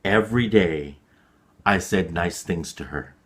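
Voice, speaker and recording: A man reading a story dramatically, with deliberate, clearly phrased and expressive delivery for learners. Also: low voice.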